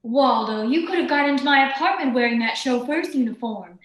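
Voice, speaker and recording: A woman's voice: sits high, lively intonation, fairly quick, echoey room. A woman playing an accusing young woman in a 1940s radio detective drama.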